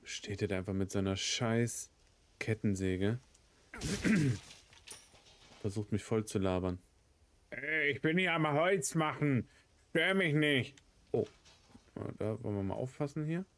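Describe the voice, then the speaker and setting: A man's voice: mid-range voice, animated, unhurried, pauses often, a bit bright. A man in his thirties with a cold, giving casual, sweary gameplay commentary as a let's play host. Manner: sweary, muttering reactions to the game. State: has a cold, coughing.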